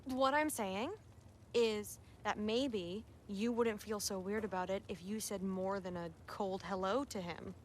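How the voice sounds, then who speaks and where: An actress playing a headstrong teenage daughter of the gods who talks in a defiant, eye-rolling way. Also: female voice, mid-range voice, unhurried, slight background noise, lightly acted.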